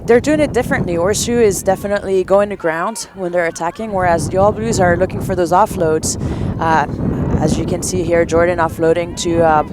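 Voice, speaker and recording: A woman's voice: on the low side, steady tone, a little fast, hardly any pauses. Woman giving analytical rugby commentary outdoors. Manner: analytical, chatty with filler words. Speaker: color commentator. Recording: outdoor noise behind the voice.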